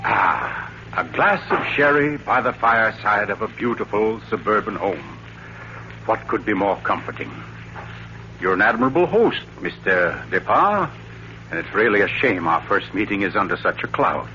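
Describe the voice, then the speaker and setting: A suave, low-voiced, sinister storyteller. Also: male voice, animated, bright, forceful tone, slight background noise. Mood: urbane, teasing. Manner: urbane, dramatic, deliberate storytelling. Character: suave, theatrical mystery writer telling a sinister tale. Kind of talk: 1940s radio thriller acting. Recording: muffled old radio recording with music.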